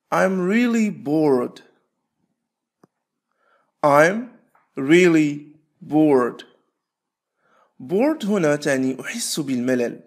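A man teaching English, repeating short phrases slowly and switching to quick explanations. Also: fairly high pitch. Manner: repeats phrases slowly, stretching words apart; explains rapidly between drills. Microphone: voice-over.

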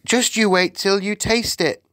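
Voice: mid-range voice, unhurried, bright, forceful tone, no room sound, clean studio sound, pitched above the performer's own voice. A storybook reader voicing a polite, eager little girl. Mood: excited.

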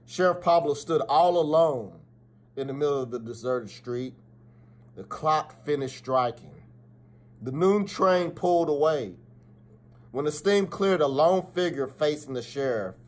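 A man's voice: on the high side, expressive, unhurried. A middle-aged man reading a children's story aloud expressively, with dramatic emphasis and the odd stumble. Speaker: school principal reading aloud. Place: small office, indoors. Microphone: phone or webcam at arm's length.

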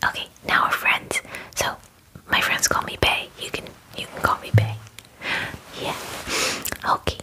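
A woman in her twenties whispering an ASMR roleplay as a friendly werewolf groomer, in a breathy, hesitant, chatty way, close to the microphone. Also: measured pace, long pauses, bright, forceful tone, low-quality recording. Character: friendly young female werewolf salon groomer. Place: small indoor room at home. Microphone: close microphone, face near camera.

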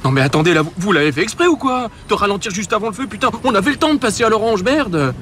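High-voiced, bossy, panicky young man. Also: animated, fast talker, a bit bright. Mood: impatient, annoyed. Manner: impatient, swearing, slangy. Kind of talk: French radio drama acting. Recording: street and car noise behind.

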